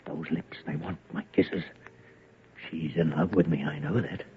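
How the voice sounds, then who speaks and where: An actor in an old-time radio drama playing an obsessed, lovesick young man who mutters haltingly and breathily. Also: extremely low pitch, steady tone, a little hiss or hum. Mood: feverish longing. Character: obsessed, lovesick young man murmuring over a sleeping girl. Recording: dull, muffled old radio recording.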